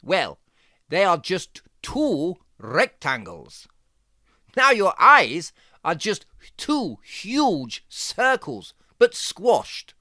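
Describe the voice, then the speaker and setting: Bright, theatrical cartoon fox teacher. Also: medium pitch, wildly swooping pitch, no room sound, clean studio sound, exaggerated voice. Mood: playfully bossy. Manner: theatrical, deliberate, encouraging. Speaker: presenter of children's drawing tutorial. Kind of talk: playful step-by-step instruction.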